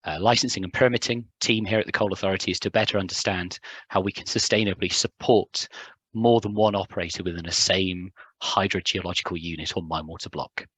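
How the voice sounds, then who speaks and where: A young man, a hydrogeologist, giving a conference talk in a hoarse, recovering voice, hesitant with many ums and restarts. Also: sits low, steady tone, fairly quick, fairly bright tone, dry recording. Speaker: hydrogeologist presenting at a symposium. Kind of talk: conference talk with filler words.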